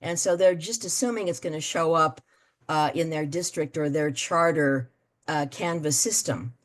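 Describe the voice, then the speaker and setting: A woman leading an online meeting, explaining things with many fillers and pauses. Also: deep voice. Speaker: meeting facilitator.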